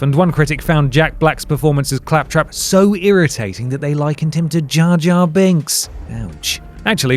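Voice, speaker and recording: A man's voice: mid-range voice, slight background noise. A man reading a scripted video essay narration, with dry, sarcastic asides.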